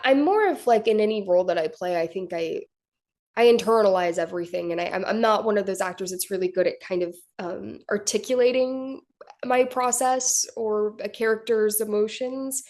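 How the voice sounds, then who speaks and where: A young actress chatting casually in an interview, with lots of likes and ums. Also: female voice, mid-range voice, moderate pace, darkish tone. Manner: casual, chatty, filler-heavy. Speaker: actor. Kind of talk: press junket interview.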